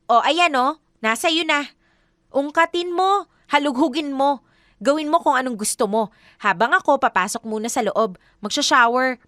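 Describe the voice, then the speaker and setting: A woman's voice: high-pitched, pushed and bright, no echo, clean studio sound, exaggerated voice. A woman acting a confident, exasperated but patient young girlfriend in a Filipino radio drama, speaking emphatic Taglish.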